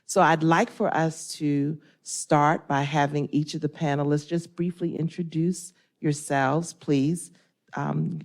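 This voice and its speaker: A woman counselor facilitating a panel discussion, measured and organized as she introduces the topic and guests. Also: deep voice, creaky, a little mellow. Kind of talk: formal panel moderation.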